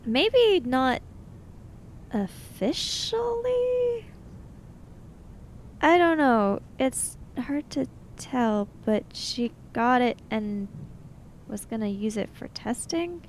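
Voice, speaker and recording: A woman's voice: a little high, big pitch swings, extremely slow, long pauses, slight background noise, poor audio. A woman playing a tabletop role-playing game, talking casually in a matter-of-fact, argumentative way. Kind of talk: casual in-character game discussion.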